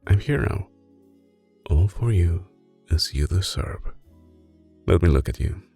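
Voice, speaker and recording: A man playing a soft-spoken mafia boss boyfriend in an ASMR roleplay, menacing yet doting, with an Italian accent and a deep, creaky, intimate delivery. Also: animated, full character voice. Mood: tender, doting. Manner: slow, deliberate, controlled, intimate. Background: music.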